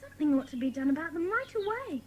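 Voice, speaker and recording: A woman's voice: high voice, big pitch swings, fast talker, darkish tone, a little hiss or hum. A woman playing a caring, outspoken British schoolgirl in a dramatised children's audiobook. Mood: indignant, protective.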